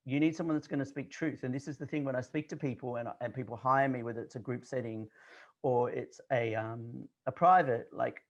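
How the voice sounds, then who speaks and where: A man's voice: mid-range voice, fairly even intonation, brisk, lo-fi sound. An Australian man in his forties, a confidence coach who talks bluntly and directly in a casual interview, with frequent fillers. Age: 40s.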